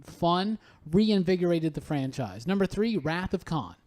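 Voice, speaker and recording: A man's voice: sits high, lively intonation, unhurried, darkish tone. A man hosting a podcast, chatting casually and emphatically about films with real enthusiasm. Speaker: podcast host.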